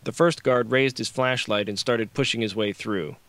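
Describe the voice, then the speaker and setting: A man's voice: mid-range voice, moderate pace, a bit bright, no echo. A man narrating a novel as an audiobook, reading scripted fiction on a close microphone.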